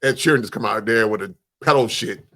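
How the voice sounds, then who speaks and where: A man's voice: on the low side, quick pace, pushed and bright. A man co-hosting a podcast, chatting casually in informal, slangy language with some swearing. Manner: informal slangy speech with profanity. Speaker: podcast co-host. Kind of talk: casual chat.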